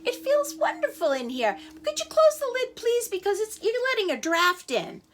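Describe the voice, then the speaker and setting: A woman voicing a tiny, eager male half-chick in a told folk tale, squeaky and breathless. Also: big pitch swings, normal speed, fairly bright tone, full character voice. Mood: cheeky.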